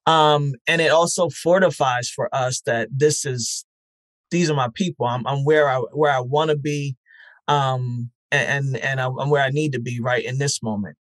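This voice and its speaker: A man speaking as an interview guest in a reflective, conversational way, earnest and halting, repeating words as he thinks. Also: medium pitch, fairly even intonation, a bit bright, no room sound. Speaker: interview guest, festival founder.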